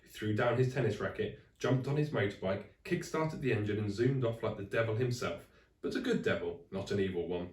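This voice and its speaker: Bloke reading kids story out loud, lowish voice, expressive, roomy echo. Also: male voice, a little fast, distant mic. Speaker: teacher reading aloud to children. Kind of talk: expressive children's storybook narration. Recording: echoey room, away from the mic.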